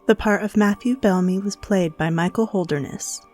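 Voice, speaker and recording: A woman's voice: mid-range voice, moderate pace, darkish tone, faint music behind. A woman reading scripted podcast credits and appealing to listeners as the show's announcer.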